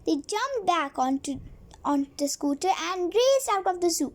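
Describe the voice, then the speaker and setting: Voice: very high voice, sing-song, moderate pace. A child reading a storybook aloud, stumbling over words and repeating phrases now and then.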